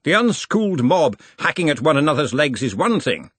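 A man voicing a blustering elderly male wizard who runs a university, with a booming, pompous manner. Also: sing-song, normal speed, fairly bright tone, husky, no room sound, full character voice. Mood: jovial.

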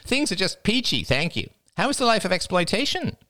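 A man voicing a brash, mocking young anarchist woman in a high, nasal, theatrical voice for a story. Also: big pitch swings, a little fast, bright, forceful tone, dry recording, exaggerated voice. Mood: sarcastic. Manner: sarcastic, taunting, exaggerated.

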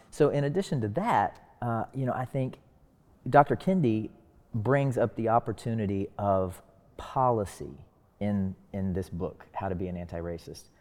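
A man speaking as a discussion guest, explaining earnestly in deliberate, emphatic phrases. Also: lowish voice, a little mellow. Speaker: discussion panelist. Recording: reverberant hall-like echo.

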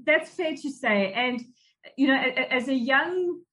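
Interview guest: South African woman, fairly high voice, thoughtful storyteller. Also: expressive, a bit bright. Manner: measured, reflective, with frequent restarts. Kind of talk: personal recollection in an interview.

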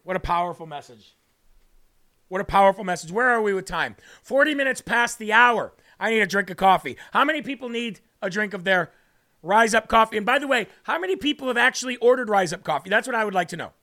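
A man with a raspy voice hosting a faith livestream, preaching and reading viewer comments aloud, often repeating phrases for emphasis. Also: high-pitched, expressive, quick pace, bright, forceful tone. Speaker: host of a faith livestream show.

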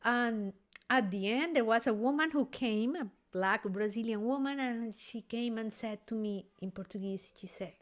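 Effusive singer searching for words. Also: female voice, on the high side, moderate pace. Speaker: classical singer. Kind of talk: casual conversation.

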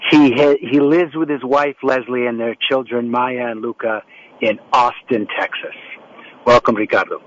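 A man's voice: mid-range voice, fairly even intonation, moderate pace, highs cut off, a little hiss or hum. A man hosting an interview show over a remote setup, rambling a little with frequent restarts and fillers as he introduces guests and asks questions. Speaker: talk show host. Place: home setup in New York. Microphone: remote call setup.

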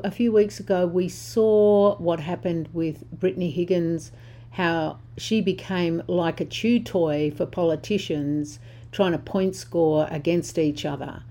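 A woman's voice: sits low, dark tone. Older woman losing her voice, blunt. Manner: impassioned, blunt, conversational. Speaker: host. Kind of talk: spoken commentary on social justice.

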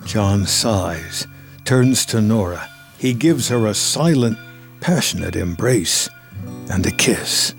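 A male narrator reads screenplay action in a lowish voice. He takes it slowly, over faint guitar music. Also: animated, vocal fry.

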